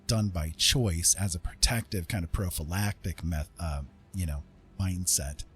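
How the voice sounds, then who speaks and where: A man's voice: sits low, a little slow, fairly bright tone. A man chatting casually with livestream viewers in a rambling, conversational way. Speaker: livestream host. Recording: faint music behind the voice. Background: music.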